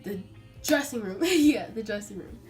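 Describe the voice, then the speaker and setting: A young adult American woman telling a personal story casually to her viewers in an informal, animated way. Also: mid-range voice, normal speed, faint music behind. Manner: chatty, informal, animated retelling with acted-out quotes. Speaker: vlogger.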